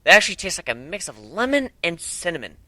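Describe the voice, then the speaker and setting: A man's voice: high voice, big pitch swings, fast, bright, forceful tone, no room sound. A man narrating a first-person story as an amateur dramatic reading.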